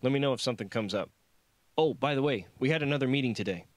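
Man voicing breezy, friendly security guard, lifted pitch. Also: fast, dry recording, close to an everyday voice. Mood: upbeat. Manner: breezy, casual. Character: friendly, upbeat tall male security guard.

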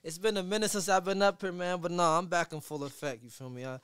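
A man in his thirties hosting a podcast in a small studio, talking in a relaxed, slangy way into a boom mic. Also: fast talker, smooth, dry recording. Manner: laid-back slangy talk, relaxed hype. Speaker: podcast host. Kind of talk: casual interview. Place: small studio with foam wall. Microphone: studio mic on boom arm, headphones.

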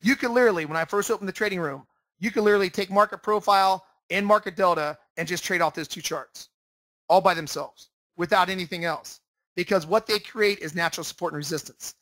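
A man's voice: high voice, fast, sharp tone, dry recording. A man instructing in an informal screen-share lesson, explaining emphatically and repeating himself for stress. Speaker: trading room instructor. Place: indoors, voice-over for screen share.

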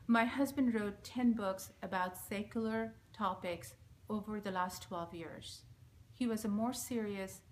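A woman in her forties with a Bangladeshi accent, Bengali being her first language, reads a prepared message in a measured, solemn, steady way, facing a close camera in a small room. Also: mid-range voice, a little hiss or hum. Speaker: speaker giving a recorded message. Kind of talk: reading a prepared statement. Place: small indoor room, plain wall. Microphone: front-facing camera at close range.

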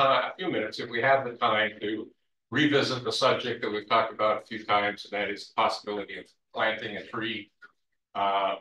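Bright-voiced man, off-mic in echoey room. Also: on the low side, distant mic. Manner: hesitant, tentative proposals. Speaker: board vice chair. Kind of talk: meeting discussion.

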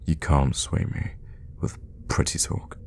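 A man voicing a sadistic, teasing male vampire captor in a hushed, intimate ASMR roleplay, with a deep, gravelly half-whisper. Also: lightly acted. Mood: menacing, impatient. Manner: slow, hushed, menacingly tender with pet names. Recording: long reverb, faint background noise.

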